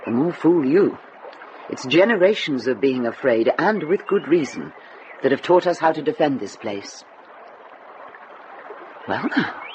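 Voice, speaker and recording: Brisk nun with lively intonation. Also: female voice, low voice, muffled recording. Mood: wry, steady. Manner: brisk, dry, authoritative. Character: brisk, wry, commanding older nun, kindly underneath. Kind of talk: radio drama acting. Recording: noisy ambience behind dialogue.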